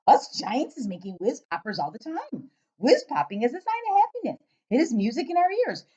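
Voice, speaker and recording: Woman voicing a loud, exuberant storybook giant. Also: huge pitch swings, breathy edge, heavily acted. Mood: gleeful. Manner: booming, emphatic, drawn-out exclamations. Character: big friendly old giant, exuberant, mangles his words. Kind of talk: children's storybook read-aloud.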